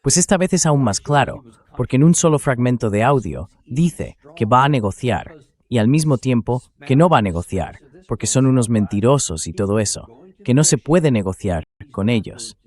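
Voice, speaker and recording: A man's voice: mid-range voice, animated, moderate pace, darkish tone. An AI-generated man's voice hosting conversational news commentary. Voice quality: synthetic AI translation voice. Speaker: host commentator.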